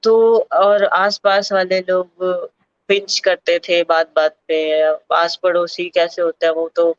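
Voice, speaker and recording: A woman's voice: on the low side, level tone, slow pace, smooth, no echo, muffled recording. Young woman sprinter, candid and thoughtful. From Uttar Pradesh, India. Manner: thoughtful, many fillers, mixes English words into Hindi. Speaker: para-athlete sprinter, interview guest. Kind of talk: candid personal interview.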